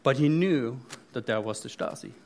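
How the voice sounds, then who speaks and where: A man's voice: medium pitch, expressive, moderate pace. A middle-aged man from East Germany with a German accent, speaking from the front of a church with many fillers, restarts and joking asides. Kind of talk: sermon.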